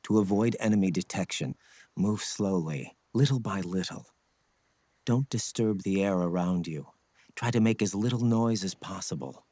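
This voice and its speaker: An actor playing a stoic ninja scout mentor in a video game, speaking in a grave, measured, husky voice. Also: male voice, mellow, dry recording, close to an everyday voice. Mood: solemn, instructive. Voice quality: low, husky. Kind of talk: dramatic video game acting.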